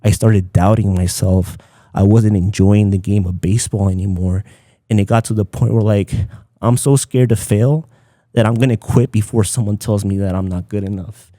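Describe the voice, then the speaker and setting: Man, Spanish speaker, relaxed dark tone, dry close sound, casual podcast storytelling. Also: on the low side, moderate pace, no room sound. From Southern California, United States. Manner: informal, peppered with "like" and Spanish phrases. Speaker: podcast guest, content creator.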